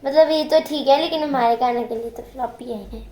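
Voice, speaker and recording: A woman's voice: high-pitched, brisk, dark tone, a little hiss or hum. A young woman teasing her mother at home and judging the doll dress in a playful way. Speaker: vlogger's daughter. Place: home indoors. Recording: echoey home room.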